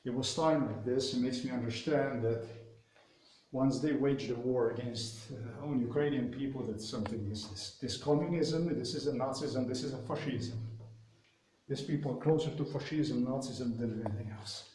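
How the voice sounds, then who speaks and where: A man from Slovenia with a Slovenian accent, speaking English as a second language. He delivers an indignant political monologue, full of pauses and fillers, while doing exercise. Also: mid-range voice, darkish tone, echoey room, a little hiss or hum, poor audio. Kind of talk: solo vlog monologue.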